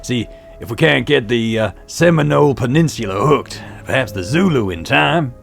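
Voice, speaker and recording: Man doing a brash gravelly Texan showman. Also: mid-range voice, expressive, normal speed, faint music behind. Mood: boastful. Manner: loud, flirtatious salesman patter. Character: brash boastful Texan gentleman entrepreneur.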